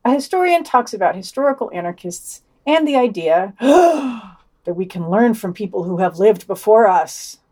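A woman's voice: mid-range voice, animated, normal speed, warm tone. A woman co-hosting a podcast, reading news items aloud and adding wry, dry asides. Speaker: podcast co-host.

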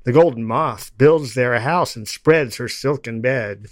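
Halting man with a close, dry sound. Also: mid-range voice, dry recording. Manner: halting, digressive delivery with repeated words. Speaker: podcast guest discussing poetry. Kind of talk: reciting poetry, then informal explanatory discussion.

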